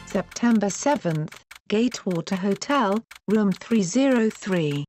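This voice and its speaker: A woman's voice: medium pitch, lively intonation, unhurried, a little mellow, faint music behind, natural voice. A woman reading scene captions and notices as scripted narration for a game fan dub.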